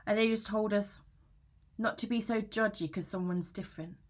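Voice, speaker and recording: A woman's voice: medium pitch, fairly even intonation, moderate pace, natural voice. A woman playing an anxious, aggrieved young British student in a conversational, confessional dramatic monologue, chatty, slangy and self-justifying. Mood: exasperated. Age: young adult. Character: anxious young female student, aggrieved and defensive about housemate.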